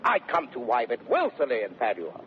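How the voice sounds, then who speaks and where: An actor playing a blustering, boastful young gentleman adventurer, declaiming in a 1930s radio Shakespeare play on a very tinny, noisy recording. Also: mid-range voice, wildly swooping pitch, fairly quick. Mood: brisk, jaunty. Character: swaggering, impudent young gentleman adventurer and fortune-seeker. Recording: very tinny vintage radio recording, faint noise.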